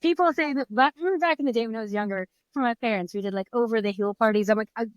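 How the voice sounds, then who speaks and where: A woman in her thirties talking as an interview guest, upbeat and excited. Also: on the high side, very fast, dry recording, lo-fi sound. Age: 30s. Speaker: guest peer mentor. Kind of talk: casual interview chat.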